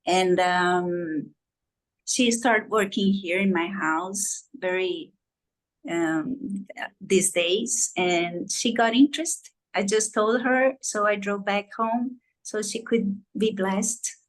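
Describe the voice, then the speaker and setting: Brazilian woman, Portuguese native, unhurried and halting, translating informally on a group call. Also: mid-range voice, rough recording. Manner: halting, non-native English. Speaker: participant translating for a guest.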